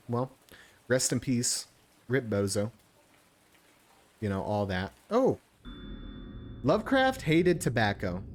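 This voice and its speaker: A man's voice: mid-range voice, slight background noise. A man giving casual playthrough commentary as a gaming streamer, with an irreverent, joking, sweary manner.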